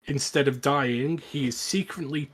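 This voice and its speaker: Male podcast co-host, average pitch, informal and opinionated, conversational film-review delivery. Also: fairly even intonation, unhurried, fairly bright tone, husky. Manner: opinionated, sweary. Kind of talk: casual film discussion and recap.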